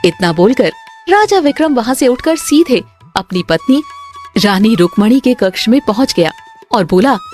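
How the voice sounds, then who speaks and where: Animated woman storyteller. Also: on the high side, normal speed, faint music behind. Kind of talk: scripted cartoon story narration.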